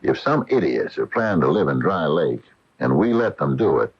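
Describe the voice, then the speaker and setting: An actor playing a gruff, folksy American hardware store owner, wary and emphatic, in a radio drama. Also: male voice, a bass voice, very animated, normal speed, exaggerated voice. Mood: troubled, indignant. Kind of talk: 1980 radio drama acting. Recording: dull lo-fi 1980 radio broadcast.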